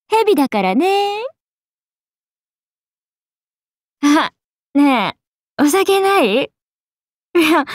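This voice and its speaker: A woman's voice: no echo, studio quality, full character voice. A woman voicing a playful, teasing serpent-oni goddess for a mobile game, with breezy big-sister airs and a drawling, sake-loving manner. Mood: tipsy, playful. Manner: breezy, drawling, teasing, slipping into low murmurs. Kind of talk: Japanese mobile game character voice lines.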